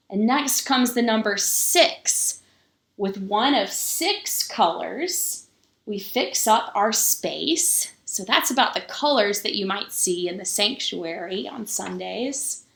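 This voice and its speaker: Lady pastor doing kids story time, slow reading, simple explanations, kinda echoey room. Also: mid-range voice, vocal fry, fairly bright tone. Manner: addresses young children, explaining simply as she reads. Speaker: pastor reading a children's book. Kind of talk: children's story time read-aloud. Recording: roomy, echoing sound.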